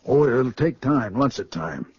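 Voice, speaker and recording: An actor playing an eager, rough convict sidekick with slangy talk in a 1940s radio crime drama. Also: male voice, medium pitch, sing-song, a little slow, darkish tone. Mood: eager, defiant. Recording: muffled 1940s radio recording.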